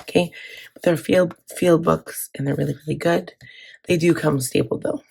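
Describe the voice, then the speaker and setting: A woman's voice: low-pitched, steady tone, normal speed, vocal fry, dark tone, breathy edge. A woman with a scratchy voice chatting casually to her channel, with frequent fillers and restarts. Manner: chatty, filler-heavy, self-correcting. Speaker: planner vlogger. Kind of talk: casual chat.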